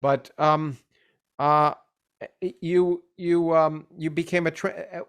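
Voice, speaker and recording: Halting older man podcast host. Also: on the high side, fairly even intonation, clear, resonant tone. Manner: halting, many restarts and fillers. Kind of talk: interview conversation.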